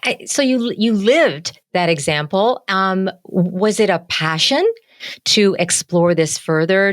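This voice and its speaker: A woman with a lowish voice hosts a podcast interview, asking conversational questions. Her voice is recorded dry and close, with no room sound. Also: no echo. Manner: conversational, encouraging listener sounds. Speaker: podcast host.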